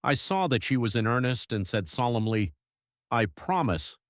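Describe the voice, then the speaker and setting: A man playing a grave, devoted young husband who narrates his journal in an audiobook, measured and reflective. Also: mid-range voice, very animated, no echo, studio quality, natural voice. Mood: weary, reflective.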